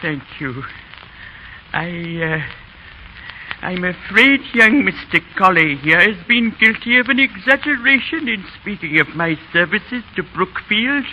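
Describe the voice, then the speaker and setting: An actor playing an elderly English schoolmaster, fond, donnish and gently humorous, speaking haltingly in a 1930s radio drama. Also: male voice, high-pitched, animated, muffled recording. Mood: grateful, teasing. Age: old man. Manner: halting, chuckling, sentimental old-man delivery. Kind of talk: 1930s radio drama acting, farewell speech. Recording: noisy vintage 1930s radio broadcast.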